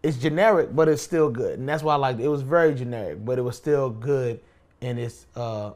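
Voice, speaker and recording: A man's voice: medium pitch, fairly quick, a little mellow. A man in casual conversation on a gaming podcast, talking emphatically and often repeating phrases to make his point. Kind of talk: casual chat while playing a game.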